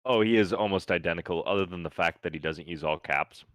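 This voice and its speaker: A man's voice: low voice, fast, fairly bright tone, no echo. A man with a Midwestern accent, hosting a casual podcast chat, talking easily with fillers and repeated words. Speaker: podcast host.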